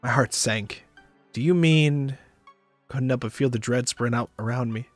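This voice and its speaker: A man's voice: medium pitch, little pitch movement, normal speed, a bit bright, no room sound, faint music behind. A man narrating a visual novel in the first person on a stream, with casual asides.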